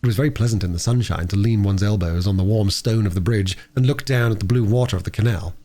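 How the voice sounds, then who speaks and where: A man's voice: lowish voice, quick pace, mellow. A man reading a storybook aloud as a narrator. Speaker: audiobook reader.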